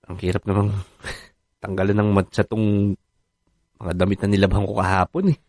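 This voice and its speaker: A man's voice: fairly low pitch, little pitch movement, normal speed, a little mellow, no room sound. A man playing a sheepish, placating stay-at-home husband in a radio drama. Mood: weary, grumbling. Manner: hesitant, placating. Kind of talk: Filipino radio drama acting.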